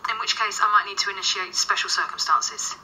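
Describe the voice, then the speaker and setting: A woman acting in a radio drama as a brisk, wry agent who investigates the paranormal, dry and businesslike. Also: mid-range voice, pushed and bright, poor audio. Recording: faint background noise and ambience.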